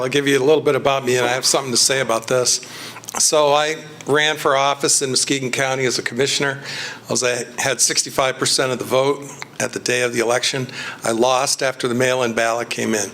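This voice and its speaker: A blunt man speaks directly to a meeting crowd. His voice is mid-pitched and matter-of-fact. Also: little pitch movement, brisk, a bit bright. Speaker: former county commissioner candidate, poll challenger. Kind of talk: casual talk to a meeting.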